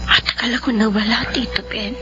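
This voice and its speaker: A woman's voice: on the high side, steady tone, lo-fi sound, close to an everyday voice. A Filipino woman acting in a radio drama as an emotional youngest adult child devoted to a forgetful mother. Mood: regretful, emotional. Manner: emotional, drawn-out, pleading delivery. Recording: band-limited radio drama audio under loud music.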